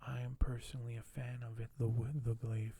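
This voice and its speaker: A man speaking softly and gently for an ASMR video, sometimes close to a whisper, with a halting delivery as host. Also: medium pitch, flat delivery, gravelly, husky. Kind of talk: soft-spoken ASMR, partly whispered; reading aloud and commenting on collectibles.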